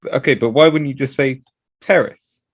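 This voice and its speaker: A man chatting on a podcast who presses his co-hosts with quick, challenging questions. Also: mid-range voice, dry recording. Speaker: podcast host. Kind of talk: casual chat.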